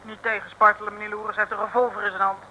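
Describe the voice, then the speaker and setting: A woman's voice: mid-range voice, little pitch movement, fast, sharp tone, natural voice. An actress playing a chirpy, naive female detective's sidekick in an old comic radio serial. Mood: urgent. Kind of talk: 1950s-60s comic radio serial acting. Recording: thin, faintly noisy old radio recording.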